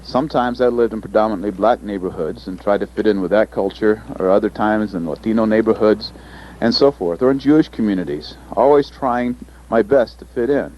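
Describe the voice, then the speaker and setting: Low-voiced man in a dull lo-fi recording. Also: a little hiss or hum. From United States. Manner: thoughtful, pausing with fillers. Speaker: interpreter presenter. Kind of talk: recorded panel presentation. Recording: dull lo-fi recording, faint ambience.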